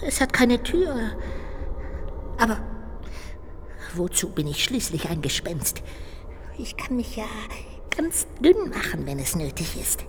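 A childlike little ghost from a radio play, cheerful and curious. It talks to itself at an unhurried pace with hesitant little exclamations. The sound carries long reverb and some background noise. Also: female voice, mid-range voice, big pitch swings. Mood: curious, determined. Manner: musing aloud to itself, drawn-out hesitations and exclamations.

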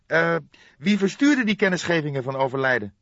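A male narrator plays an eager young detective in a crime audiobook. He pitches well up and asks urgent, inquisitive questions. The recording is roomy and a little dull. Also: very animated, a little fast, a bit bright. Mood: puzzled, inquisitive.

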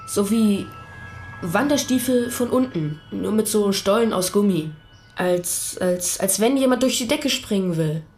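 A woman's voice: mid-range voice, animated, measured pace, a little mellow, soft background music, close to an everyday voice. A woman playing a pastor's young son, a hesitant and literal witness giving terse answers in a radio play. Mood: reluctant, factual.

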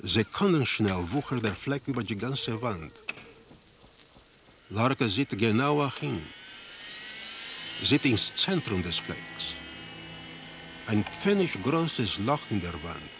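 A man playing an impassive narrator in a radio drama, reporting a surreal tale in deliberate, terse phrases. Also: on the low side, expressive, background noise. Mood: sober, ominous.

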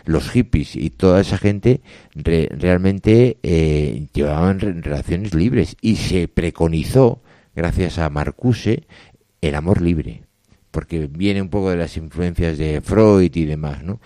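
A man co-hosting a casual literature chat, reading lines aloud and musing on them, often trailing off quietly. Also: sits low, slow pace, no echo. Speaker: co-host discussing poetry.

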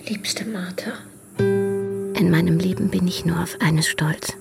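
An actress playing a fragile, grieving woman in her early forties in a radio drama, hushed and halting. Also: fairly low pitch, steady tone, vocal fry, heavily acted. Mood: grieving, shaken. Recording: loud music behind the voice. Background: guitar music.

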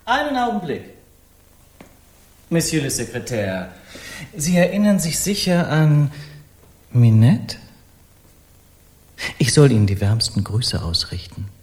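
A man's voice: mid-range voice, big pitch swings, slow, long pauses, a little mellow. A brazen, theatrical male artist in a radio play. He speaks eloquently and mockingly, his mid-range voice leaping and dipping with great liveliness. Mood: mocking, insinuating. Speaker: actor in a radio crime drama. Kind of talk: German radio play acting.

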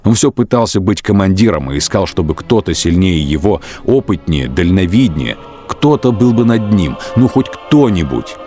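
Deep, grave male narrator. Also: big pitch swings, normal speed, mellow, faint music behind. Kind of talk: steady literary narration for a radio play.

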